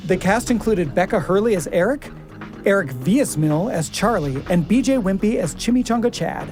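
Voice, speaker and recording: A woman speaks as a podcast host in a medium-pitched voice. She shifts between conversational talk and scripted narration, with soft music faintly behind her. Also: expressive, normal speed.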